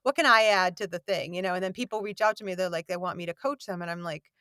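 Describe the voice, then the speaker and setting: A woman voice actor chatting in an interview, rambling and self-deprecating, with a warm voice that has a slight gravel to it. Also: on the low side, fast, dry recording, clean studio sound. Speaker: voice actor guest. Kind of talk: casual interview chat. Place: home recording booth.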